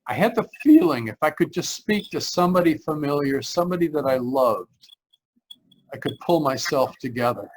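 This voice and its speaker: A haunted young traveler, acted by a man, speaks in a fairly high voice with 1940s radio drama intensity. He halts, stammers and repeats himself, sounding frightened and desperate. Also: lively intonation, a little hiss or hum, natural voice, poor audio. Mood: dread, unravelling. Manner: halting, stammering, repeating phrases. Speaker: actor narrating and playing the lead. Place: remote live performance over video call.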